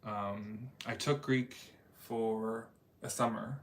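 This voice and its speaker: A man's voice: fairly low pitch, steady tone, slow pace. A man hosting a casual livestream chat, rambling in a talkative way with many fillers. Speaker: livestream host reading chat.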